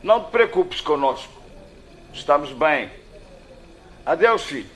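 Firm father, fairly high voice. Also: sing-song, unhurried, a bit bright. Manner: firm, curt. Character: firm, curt father laying down rules to son. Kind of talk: radio drama acting.

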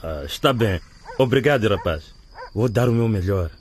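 A man's voice: on the low side, animated, moderate pace, natural voice. A man playing a reluctant, evasive school helper in a radio drama, curt and muttering. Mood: reluctant agreement. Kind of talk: scripted radio drama acting.

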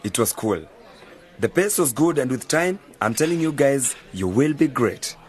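An actor playing a supportive, easygoing friend in a radio drama, speaking casually and encouragingly amid loud cafe chatter. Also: male voice, medium pitch, animated, normal speed, natural voice. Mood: encouraging, friendly. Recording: busy bar chatter behind voice. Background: loud crowd in a cafe.

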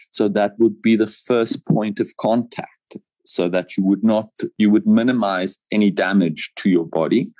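A man with a fairly low voice speaks at a very unhurried pace. He explains things step by step in a reassuring way, often repeating phrases for emphasis. The sound is dry, with no room echo. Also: a little mellow. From South Africa. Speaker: paragliding pilot, interview guest. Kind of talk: conversational interview answers.

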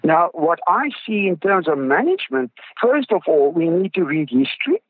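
A man's voice: high voice, sing-song, normal speed, breathy edge, no room sound. A man from South Africa with an Afrikaans accent, a native Afrikaans speaker, explaining things earnestly as an expert guest in an interview. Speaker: groundwater researcher, interview guest. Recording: dull lo-fi audio with music behind. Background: music.

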